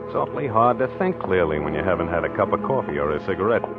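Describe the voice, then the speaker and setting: A low-pitched American man as a mild, befuddled husband in a vintage radio mystery. He speaks in a hesitant, deliberate, reflective way. The old recording is muffled, with music behind. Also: sing-song. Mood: reflective, subdued. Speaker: narrator and lead character. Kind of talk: 1940s radio acting, first-person narration.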